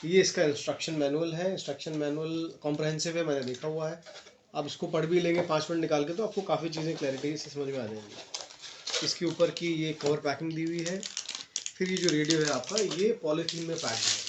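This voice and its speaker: A man's voice: on the high side, fairly quick. An Indian man presenting a casual unboxing review, explaining features to viewers in a friendly-address style with English terms mixed in. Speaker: video presenter and product reviewer. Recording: echoey room with steady noise and clicks.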